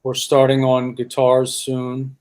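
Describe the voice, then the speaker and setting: Guy who plays bass in a rock band, just chatting in an interview, everyday mid-range voice. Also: monotone, takes it slowly, a little mellow, smooth. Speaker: rock bassist, interview guest. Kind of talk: casual interview talk.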